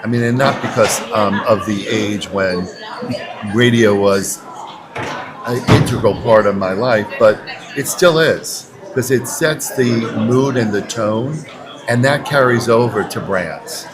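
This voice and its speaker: A man's voice: lowish voice, unhurried. A man in his sixties speaking earnestly and conversationally as a guest in a podcast interview. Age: 60s. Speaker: interview guest, advertising agency chief executive. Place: podcast booth at a busy conference. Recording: crowd chatter around the voice. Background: crowd chatter.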